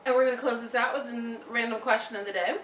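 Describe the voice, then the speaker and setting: A woman's voice: on the high side, racing. An upbeat, chatty woman in her thirties hosts a casual interview. Her voice comes from a distance in an echoey room with a faint hiss behind it. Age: 30s. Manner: upbeat, chatty, lively bursts of excitement. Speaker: host.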